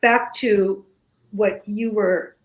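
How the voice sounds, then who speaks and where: A woman whose first language is Greek, speaking as a guest in a casual radio conversation, slow and halting with many pauses and restarts. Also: medium pitch, steady tone, low-quality recording.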